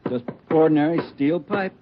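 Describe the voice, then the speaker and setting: A man's voice: fairly high pitch, moderate pace, noisy background, natural voice. Man playing wry crime lab technician, muffled audio. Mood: businesslike. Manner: matter-of-fact, dry, wry. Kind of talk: 1950s radio crime drama acting. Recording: muffled old radio recording.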